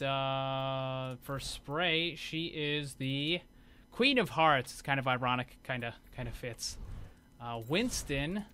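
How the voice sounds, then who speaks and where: A man hosts a gaming video in a casual way. His voice is bright and a little high, with faint game ambience behind it. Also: slight background noise. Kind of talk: casual commentary over game footage.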